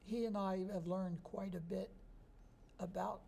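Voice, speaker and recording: A man in his sixties from New Jersey, a history professor answering interview questions in a relaxed, thoughtful way. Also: sits high, a little slow, gravelly, slight background noise. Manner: relaxed, thoughtful, chuckles easily.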